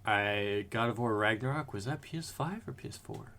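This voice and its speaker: A man's voice: on the low side, moderate pace, creaky, a bit bright, a little hiss or hum. A man in his thirties co-hosting a podcast, chatting casually at home, rambling and correcting himself as he goes, with an occasional swear. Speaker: podcast co-host. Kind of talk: casual chat. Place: home room.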